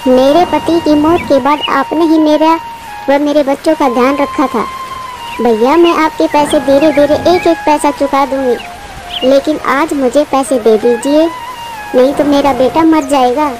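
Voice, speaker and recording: A woman's voice: high-pitched, steady tone, unhurried. A woman voicing a poor, devoted mother bird in a children's cartoon, humble and earnest in manner. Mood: pleading. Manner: earnest, pleading, polite. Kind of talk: Hindi children's cartoon voice acting. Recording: music bed behind the voice.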